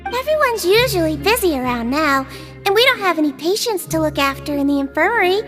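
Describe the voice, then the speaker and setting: Very high, sweet little creature nurse. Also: female voice, very animated, brisk, a bit bright, faint music behind, exaggerated voice. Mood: cheerful, admiring. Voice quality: childlike, sweet. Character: small sweet nonhuman creature nurse, caring and serenely odd. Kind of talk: animated video game dialogue.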